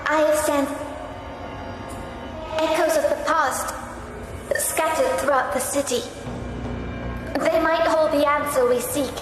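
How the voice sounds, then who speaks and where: An actress playing an earnest, gentle ghostly young sister in a dark fantasy game, speaking formally through an ethereal reverb. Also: female voice, sits high, steady tone, slow pace, long pauses. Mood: solemn, resolute. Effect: long ethereal reverb. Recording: heavy echoing reverb, music behind.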